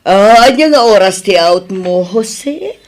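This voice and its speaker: A woman's voice: mid-range voice, expressive, takes it slowly, darkish tone. A woman with an Ilocano accent acting a forward, flirtatious admirer in an audio drama. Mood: flirtatious, coy.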